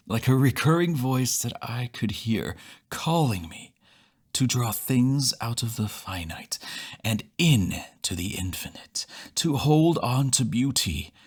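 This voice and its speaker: Male poetry reader, breathy, very slow, solemn, mid pitch. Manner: slow, solemn reading that sinks into whispers. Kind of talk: poetry audiobook recitation.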